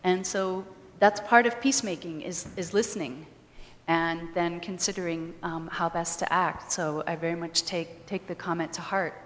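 Halting, lowish-voiced woman in a reverberant hall. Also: steady tone. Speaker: guest history professor. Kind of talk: extemporaneous question and answer talk. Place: large hall. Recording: reverberant hall, faint noise.

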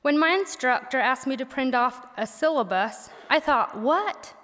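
A young woman from Missouri, a native Pennsylvania Dutch speaker with that accent, reading her prepared senior speech at a college assembly with gentle wry humor. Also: high-pitched, lively intonation, a little slow. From Missouri, USA. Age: young adult. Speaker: graduating college student. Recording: echoey auditorium sound.

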